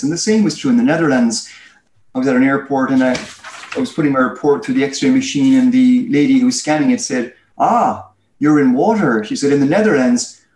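Irish male interview guest, articulate explainer, mid-pitched, echoey room. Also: a little mellow. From Ireland. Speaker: guest, head of a research firm. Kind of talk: conversational interview answers. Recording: roomy, echoey sound.

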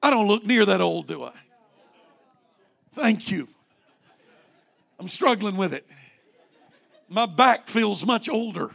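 A man's voice: sits high, animated, slow, gravelly, pauses often, fairly bright tone. A man in his late forties, a pastor preaching a fervent sermon with rising repeated refrains. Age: 40s.

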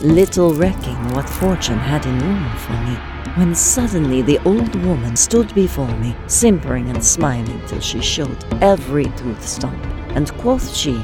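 A woman playing a wealthy lady who recounts her tale in measured, ornate, archaic English in an audio drama. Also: low voice.

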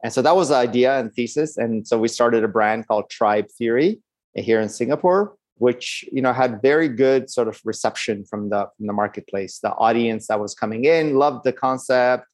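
An American man from Los Angeles in middle age, answering interview questions in a relaxed way, with lots of "uh" and restarts. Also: mid-range voice, moderate pace, no room sound. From Los Angeles, California. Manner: relaxed, many fillers and restarts. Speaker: podcast guest, startup founder.

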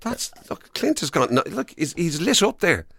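A man's voice: on the high side, sing-song, normal speed, gravelly, sharp tone, husky, dry recording, studio quality. An Irish man co-hosting a radio show in casual comic banter, chatty and playfully boastful.

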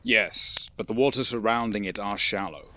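A man playing a stern, cautious alien warrior in a science fiction audio drama, formal and deliberate in his speech. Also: lowish voice, bright, forceful tone, slight background noise. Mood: cautious, measured.

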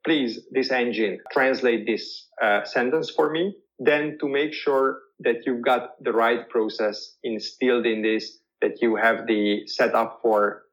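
A man's voice: medium pitch, fairly even intonation, a little slow, few pauses, a bit bright. A man whose first language is Hungarian, answering interview questions thoughtfully and repeating words as he searches for phrasing. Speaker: guest. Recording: dull lo-fi audio.

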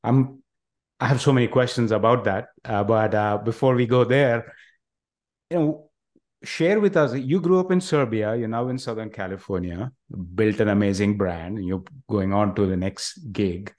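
A man's voice: on the low side, normal speed, a little mellow. A man hosting an interview, asking casual questions and encouraging the guest with brief affirmations. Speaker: interview host.

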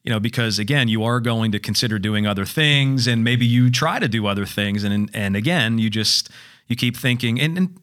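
A man's voice: medium pitch, a little fast, fairly bright tone. A man talking in a casual podcast chat, rambling with many restarts and fillers.

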